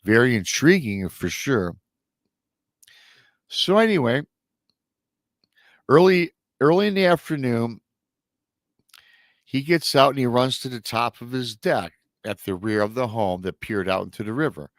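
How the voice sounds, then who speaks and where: A man's voice: mid-range voice, lively intonation, measured pace, long pauses, no echo. A middle-aged man hosting a live show, retelling a strange encounter story in a chatty way, sounding nasal and a little scratchy from a lingering illness. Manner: chatty asides to viewers. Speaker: paranormal show host.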